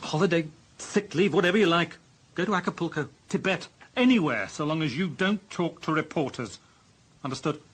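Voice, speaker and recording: An actor playing an exasperated, authoritative deputy police chief with a British accent, giving stern, sarcastic reprimands in a radio drama. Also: male voice, fairly high pitch, normal speed, a bit bright, a little hiss or hum, close to an everyday voice. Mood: placating, firm.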